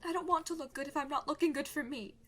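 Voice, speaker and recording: A woman's voice: high voice, quick pace, fairly bright tone. A woman playing a young, rebellious princess in a fantasy audio drama, headstrong, pleading and emphatic. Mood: downcast.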